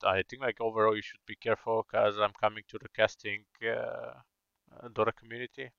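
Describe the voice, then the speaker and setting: A man's voice: on the low side, little pitch movement, fairly quick, vocal fry, a bit bright, clean tone, no echo. A man with a Bulgarian accent co-casting an esports match, analytical and hesitant with many fillers. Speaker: esports co-caster. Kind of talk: live game commentary. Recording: slightly dull, lo-fi mic.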